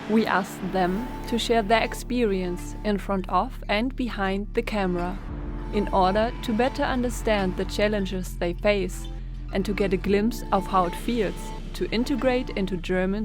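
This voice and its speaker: A woman giving a measured, reflective scripted narration for a documentary. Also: mid-range voice. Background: music.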